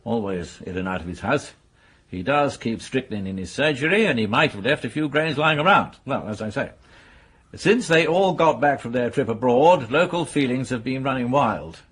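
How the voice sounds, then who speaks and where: Serious police major, mid-pitched husky voice, measured radio drama delivery. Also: male voice, lively intonation, fairly bright tone. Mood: matter-of-fact. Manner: measured, serious, matter-of-fact. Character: sober, businesslike male police major briefing colleagues. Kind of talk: radio mystery drama dialogue.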